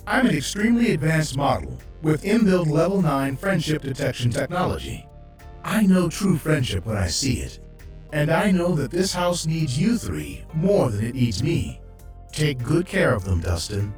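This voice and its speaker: A man's voice: on the high side, very animated, moderate pace. An actor playing an upbeat, polite household drone robot in a children's audio drama, with formal salesman-like manners and an echoing robotic effect. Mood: earnest. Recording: strong echo, distant, music underneath.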